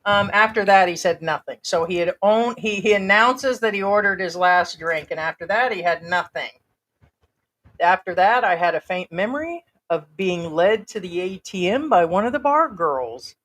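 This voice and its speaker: A woman's voice: lowish voice, animated, normal speed, a bit bright. A woman from the United States co-hosting a casual chat, laughing often and reading a story aloud with comments. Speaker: co-host.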